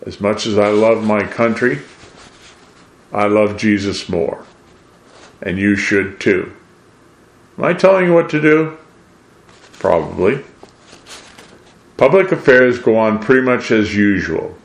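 An older American man speaks in a somewhat low voice with plenty of room echo around it. He preaches in monologue, deliberately and with emphasis, moving between quotations and his own commentary. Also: expressive. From New England, USA. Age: 60s or older. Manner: deliberate, emphatic, rhetorical questions. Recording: roomy, echoing voice.

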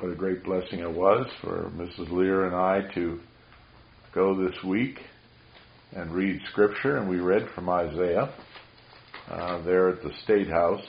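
A man preaching a sermon in an earnest, rambling, preacherly way, with a voice he says carries far. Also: deep voice. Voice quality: carrying voice. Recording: dull roomy recording.

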